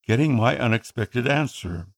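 Man, Tagalog speaker, slightly gravelly, earnest narrator for a scripted personal story. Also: medium pitch, expressive. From Philippines. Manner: earnest, measured reading.

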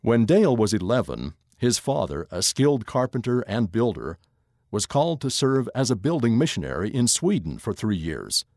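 Man narrating with lively intonation. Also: lowish voice, normal speed, no echo, clean studio sound. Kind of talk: scripted narration of a magazine article.

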